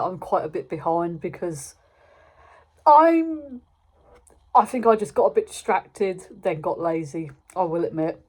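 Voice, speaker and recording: A woman's voice: medium pitch, darkish tone. A British woman chatting casually and unscripted as a channel host giving a review, in an informal, rambling way, often interrupting herself.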